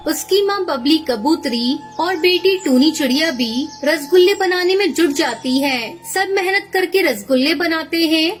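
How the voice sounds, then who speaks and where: A woman's voice: high-pitched, animated, unhurried, few pauses, faint music behind. A woman giving scripted narration of a children's moral story.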